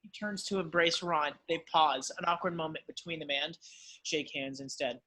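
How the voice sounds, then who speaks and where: A man's voice: a little high, steady tone, normal speed, pushed and bright, poor audio. A man reading screenplay stage directions as dramatic scripted narration.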